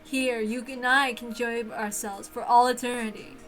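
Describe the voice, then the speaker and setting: Woman acting a grand witch, high bright voice, imperious, theatrical, possessive, formal visual novel delivery. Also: steady tone, moderate pace, soft background music, natural voice. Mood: grand, inviting. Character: grand, possessive golden witch, lonely and insistent.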